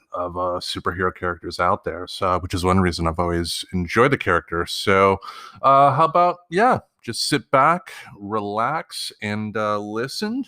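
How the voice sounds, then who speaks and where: Male podcast host, deep and crisp, casual and halting, recorded close. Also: bright, forceful tone, no echo. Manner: halting, hesitant phrasing.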